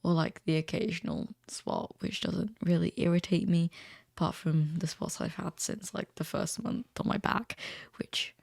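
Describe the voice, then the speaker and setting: A teenage man with a crackly, recently deepened voice, chatting casually and ramblingly as a vlogger. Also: moderate pace, gravelly, no echo, clean studio sound. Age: teens. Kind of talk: casual chat to camera.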